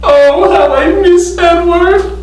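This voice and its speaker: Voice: extremely high pitch, slow pace, slight background noise. An actor playing a widowed mother who wails dramatically over her grief, in an amateur stage play. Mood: grieving. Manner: mournful, dramatic. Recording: echoey room, distant mic.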